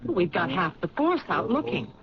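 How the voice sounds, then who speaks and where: Female police lieutenant, earnest, indignant, animated intonation, pressing, 1970s radio drama, dull broadcast sound. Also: fairly low pitch, normal speed, crowd noise. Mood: urgent, puzzled. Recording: dull old radio broadcast, loud backing.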